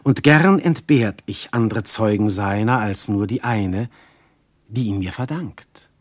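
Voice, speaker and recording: An actor playing an ardent, jealous god posing as a husband, declaiming classical verse in a radio drama with a husky voice. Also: male voice, on the low side, unhurried, dry recording. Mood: tender, secretive. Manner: declamatory, lofty, with dramatic hushed passages.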